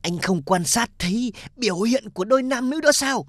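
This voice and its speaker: A man's voice: very high-pitched, expressive, normal speed, no echo, studio quality. Man as a smug, crafty restaurant boss. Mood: smug, knowing. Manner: knowing, explanatory. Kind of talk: comedy cartoon dub.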